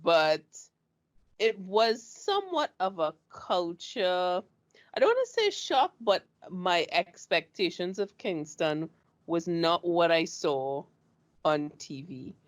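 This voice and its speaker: A woman's voice: on the low side, takes it slowly. Chatty Trinidadian woman host. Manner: chatty, animated storyteller. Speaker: show host. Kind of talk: casual interview chat.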